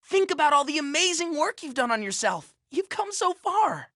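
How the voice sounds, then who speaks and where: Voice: high voice, sing-song, normal speed, sharp tone, slight background noise, heavily acted. An actor playing a kind, eager young boy hero in a cartoon game, earnest and encouraging. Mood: pleading, hopeful. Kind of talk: animated game voice acting.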